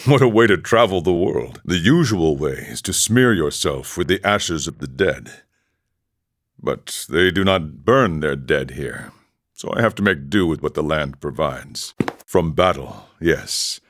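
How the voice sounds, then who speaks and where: A man playing a serene, world-weary wandering monk in a video game, speaking in a measured, formal, philosophical manner. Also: rumbling low, lively intonation, a bit bright, no echo, studio quality, heavily acted. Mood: wry, unbothered. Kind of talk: video game character dialogue.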